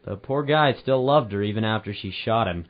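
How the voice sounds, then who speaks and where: A man's voice: lowish voice, brisk, lightly acted. A man playing a hard-boiled, world-weary private eye in an audio drama, narrating in a wry noir style. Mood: wry, regretful. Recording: dull lo-fi home recording, background hiss.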